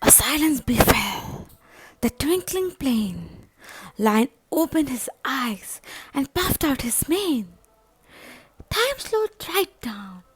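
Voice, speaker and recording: High-voiced woman reading a children's story, expressively. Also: takes it slowly, slightly rough, no room sound, poor audio. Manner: dramatic, expressive. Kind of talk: read-aloud children's story narration.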